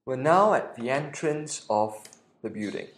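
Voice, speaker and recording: A man's voice: mid-range voice, animated, measured pace, reverberant, very noisy. A man with a Singaporean accent, hosting a podcast and narrating a scripted audio walking tour, guiding the listener step by step. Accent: Singaporean English.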